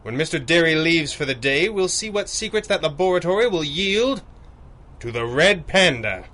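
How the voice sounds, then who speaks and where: An actor playing a composed, commanding masked crime-fighter in 1930s-style radio drama, with measured, formal diction. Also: male voice, a little high, animated, lightly acted. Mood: calm, resolute.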